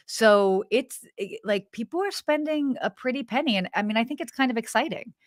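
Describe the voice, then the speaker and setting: A woman's voice: fairly high pitch, animated, fairly quick, no echo. A woman co-hosting a live travel show in casual chat. She is bubbly, laughs often, and trails off and restarts her sentences. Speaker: podcast co-host.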